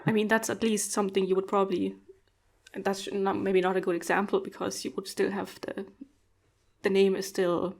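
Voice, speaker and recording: Brief: woman host, non-native English, mid pitch, halting and thoughtful, video call. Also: little pitch movement. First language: not English. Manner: thoughtful, hesitant with many fillers and laughs. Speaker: podcast host. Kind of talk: casual conversation.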